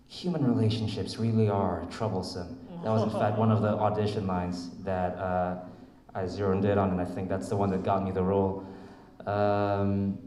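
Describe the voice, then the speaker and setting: Low mellow man, thoughtful panelist. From Vietnam. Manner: thoughtful, reflective, many fillers. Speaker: voice actor panelist. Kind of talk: convention panel answer. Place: convention hall panel. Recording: echoey hall, faint noise.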